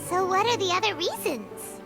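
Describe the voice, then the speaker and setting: A teeny squeaky fairy sidekick for a game, super high, bouncing all over, inquisitive, loud music behind. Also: female voice, sing-song, moderate pace, creaky, exaggerated voice. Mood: curious, puzzled. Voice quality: squeaky, childlike. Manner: speaks of self in third person, inquisitive. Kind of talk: video game voice acting.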